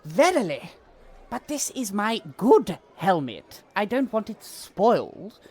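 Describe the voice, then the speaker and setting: A man's voice: high voice, very animated, slow pace, a little mellow, husky, faint music behind, exaggerated voice. A man playing a pompous, gung-ho medieval knight who speaks in mock-archaic style in a comic audio drama. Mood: pompous, haughty.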